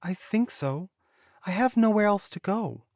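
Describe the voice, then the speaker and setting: A man's voice: high voice, expressive, a little slow, no room sound, dull audio, pitched above the performer's own voice. A man voicing an injured young female wolf-shifter heroine in an audiobook, earnest, curious and a little tentative. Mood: modest.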